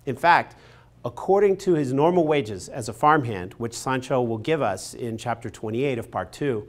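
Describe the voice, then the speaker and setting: A man in his forties giving a scripted literature lecture in a studio. He has an expressive, emphatic storytelling delivery and speaks into a lapel mic. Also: medium pitch, normal speed. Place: studio in front of a painted backdrop.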